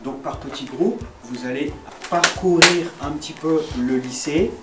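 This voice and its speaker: A woman's voice: low voice, measured pace, heavy background noise. A woman teacher giving instructions to a group, recorded at a distance in an echoey room. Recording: distant, echoey, loud music over voice.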